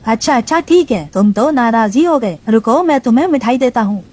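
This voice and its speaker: A woman's voice: on the high side, expressive, brisk, a little mellow. A woman voicing an impatient but easily cowed pigeon in a cartoon story. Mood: placating. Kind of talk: Hindi cartoon story voice acting.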